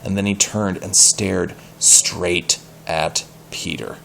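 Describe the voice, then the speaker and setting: A man reading a story aloud expressively, pausing to explain words and ask questions in a patient, teacherly way. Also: low-pitched, steady tone, vocal fry, pushed and bright, a little hiss or hum.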